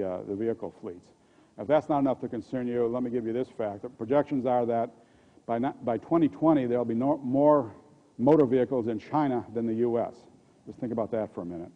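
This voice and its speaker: An older man with an American accent gives a conference keynote at a podium microphone, speaking haltingly and often repeating words and restarting. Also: medium pitch. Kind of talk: conference presentation. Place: conference hall stage at a podium. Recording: dull, muffled lo-fi audio.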